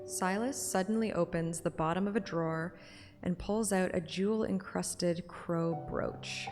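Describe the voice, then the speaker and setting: Slightly low-voiced woman, streaming storyteller. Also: unhurried, a little mellow. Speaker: streamer reading a game story aloud. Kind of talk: first-person audiobook narration with casual asides. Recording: music behind the voice.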